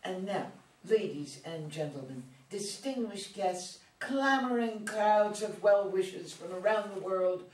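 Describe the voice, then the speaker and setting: A dramatic teenage girl, played by a woman in a stage play reading. She declaims slowly and grandly, putting on sophisticated airs. The sound is echoey and far from the microphone. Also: medium pitch, expressive, a little mellow, close to an everyday voice. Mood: grand, excited. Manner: theatrical, grandly announcing, affected sophistication. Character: teenage girl, dramatic, showy daughter playing grand lady. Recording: roomy, distant microphone.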